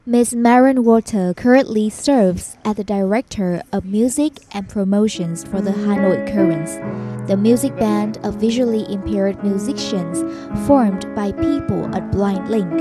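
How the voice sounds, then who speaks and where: Female documentary voice-over, formal and even, over a music bed. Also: mid-range voice. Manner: measured, formal delivery. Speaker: narrator. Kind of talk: scripted documentary narration.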